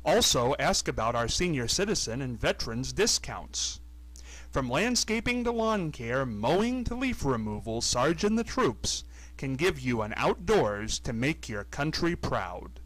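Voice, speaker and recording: Energetic man pitching a lawn service. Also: a little slow, no room sound, rough recording. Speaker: ad announcer. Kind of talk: scripted local radio ad.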